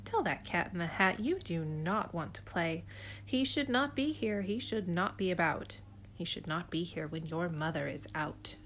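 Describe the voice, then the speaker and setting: A woman's voice: lowish voice, expressive, normal speed, a bit bright, slight background noise. A woman voicing a fussy, worried pet fish who scolds indignantly. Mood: scolding.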